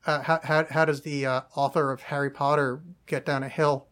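A man's voice: on the high side, flat delivery, moderate pace, a bit bright. A young man hosting a casual podcast chat, rambling and self-mocking, swearing and laughing at his own jokes. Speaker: podcast host.

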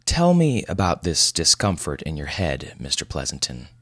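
Casting: male narrator as weary physician, low voice, dry and patient, skeptical, audiobook dialogue. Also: huge pitch swings, normal speed, a bit bright, no room sound, heavily acted. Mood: measured, patient.

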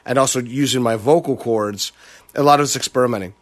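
Voice actor guy on a panel, chatty, mid voice, kinda asks himself questions out loud. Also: mid-range voice, quick pace. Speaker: creature voice actor. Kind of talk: casual panel chat.

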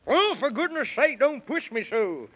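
A man playing a fussy, excitable little elf who exclaims in rhyme with a cartoonish voice in a 1930s children's radio serial. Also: measured pace, a little hiss or hum, heavily acted. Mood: flustered, worried. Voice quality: high-pitched, cartoonish. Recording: muffled old 1930s radio recording.

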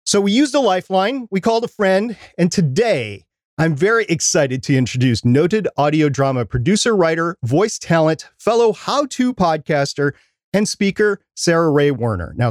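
Animated man hosting a podcast. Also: on the high side, dry recording, studio quality. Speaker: podcast co-host. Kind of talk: podcast presenting, prepared introduction and interview.

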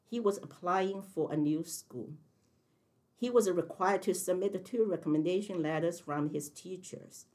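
A woman in her sixties with a Chinese accent speaks in a low voice. Her delivery is careful and measured, as if she is reading prepared answers. She is a veteran math teacher telling her own story. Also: darkish tone. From China. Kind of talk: interview answers, read from prepared text.

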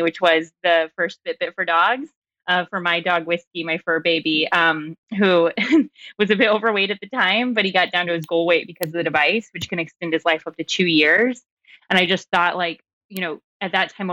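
A woman with a fairly low, bright voice gives a casual self-introduction as a startup founder. She rambles chattily with small chuckles. The sound is dry and a little muffled. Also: no echo.

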